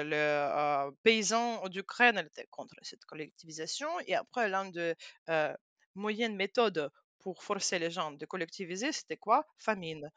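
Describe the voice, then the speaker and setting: Ukrainian-accented woman, Russian first language; animated, halting French with lots of fillers, bright tone, dry close sound. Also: lowish voice, no room sound. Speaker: guest. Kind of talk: casual interview talk.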